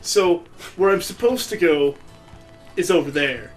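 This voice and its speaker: A man's voice: a little high, a little slow, faint music behind. A man giving casual, joking commentary as he plays a video game, with a sarcastic, mocking way of talking. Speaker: let's play host playing the game.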